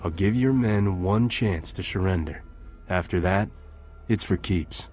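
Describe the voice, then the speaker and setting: A man's voice: low voice, measured pace, a little mellow, faint music behind. An actor playing a cocky, wisecracking male smuggler captain in a video game, flippant and dry. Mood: confident. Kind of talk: video game cutscene acting.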